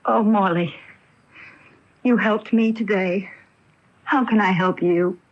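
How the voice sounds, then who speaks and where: An actress playing an excitable, tender young woman in a radio drama, with an earnest, emotional delivery. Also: mid-range voice, slow pace, natural voice. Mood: tender, devoted. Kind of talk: 1980 radio drama acting. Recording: dull AM radio airing from 1980.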